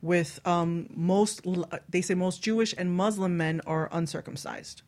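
A woman's voice: fairly low pitch, fairly even intonation, measured pace, a little mellow. A woman hosting a radio show, chatting casually and bawdily in a frank, teasing way. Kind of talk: bawdy casual panel chat.

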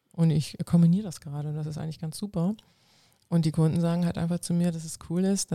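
Gravelly woman, earnest. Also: low-pitched, warm tone, dry recording, clean studio sound. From North Frisia, northern Germany. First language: Low German. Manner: earnest, explains at length with restarts. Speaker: guest, coach and civil servant. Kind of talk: casual interview answers.

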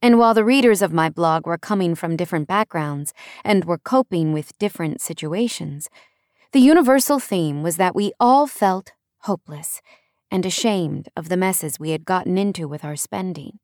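A woman's voice: lowish voice, normal speed, a little mellow, no room sound, studio quality. A woman narrating a first-person nonfiction audiobook in a conversational way, leaning on key words for emphasis.

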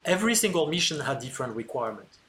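A man with a French accent explains his engineering work in an interview, in a mid-range voice. He speaks earnestly and keeps pausing on "uh" fillers as he searches for words. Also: medium pitch, big pitch swings, fairly bright tone. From France. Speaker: antenna engineer, podcast guest.

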